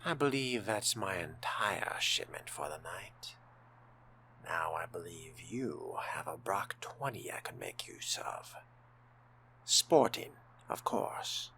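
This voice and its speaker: A man voicing a suave, courtly vampire fledgling in game roleplay, with a breathy, hushed voice and formal, genteel wording. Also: low voice, sing-song, slow, whispered, exaggerated voice. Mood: smooth, scheming. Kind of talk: in-character game roleplay narration.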